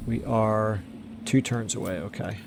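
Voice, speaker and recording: A man commentating his strategy game play casually, thinking aloud with fillers, his voice going hoarse. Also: lowish voice, steady tone, measured pace, noisy background. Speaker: let's play host.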